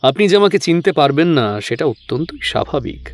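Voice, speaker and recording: Man playing a courteous, quick-talking holy man. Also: a little high, big pitch swings, pitched above the performer's own voice. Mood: polite, reassuring. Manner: polite, formal, earnest. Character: courteous, reassuring younger male tantric holy man.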